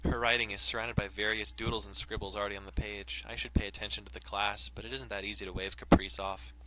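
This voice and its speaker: A man's voice: on the low side, flat delivery, fast, bright, forceful tone, a little hiss or hum, poor audio. A man hosting a let's play, reading game text aloud and cracking crude jokes between lines. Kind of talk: casual gameplay commentary and reading aloud.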